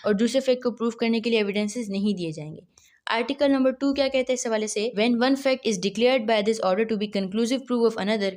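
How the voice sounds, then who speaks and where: A woman with a Pakistani accent giving an explanatory law lecture, didactic, posing questions and answering them, repeating key phrases. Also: fairly high pitch. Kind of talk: explanatory lecture mixing read-out provisions with explanation.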